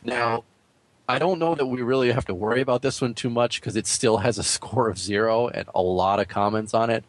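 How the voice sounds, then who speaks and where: A man's voice: mid-range voice, brisk, no echo. A man co-hosting a gaming podcast, running through news items in a casual, conversational way. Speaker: podcast co-host. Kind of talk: casual news rundown and discussion.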